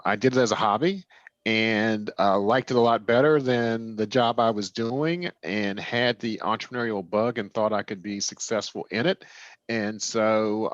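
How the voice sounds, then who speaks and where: A man in his sixties speaks in a low register, as an interview guest talking through his own experience. He explains things conversationally and in a personal, discursive way. The audio is dull and somewhat lo-fi. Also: fairly bright tone, no echo. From Washington, D.C. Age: 60s. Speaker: interview guest, real estate developer.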